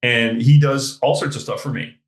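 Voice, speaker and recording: A man speaking as a podcast guest, talking in an animated, emphatic way and swearing casually. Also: mid-range voice, normal speed. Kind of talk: casual interview talk. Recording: roomy echo.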